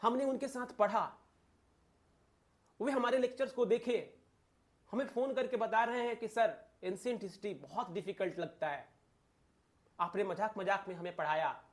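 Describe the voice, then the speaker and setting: High-pitched man teaching emphatically. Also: normal speed, pauses often. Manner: emphatic, repeats key phrases, asks rhetorical questions. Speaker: exam coaching teacher and channel host. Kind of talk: informal exam-analysis lecture addressed to viewers.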